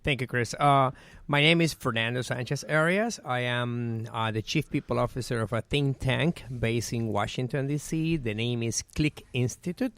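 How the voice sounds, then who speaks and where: A man's voice: mid-range voice, moderate pace, fairly bright tone, dry recording. A middle-aged man from Venezuela with a Venezuelan Spanish accent, answering interview questions earnestly with many "eh" and "um" fillers. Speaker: guest.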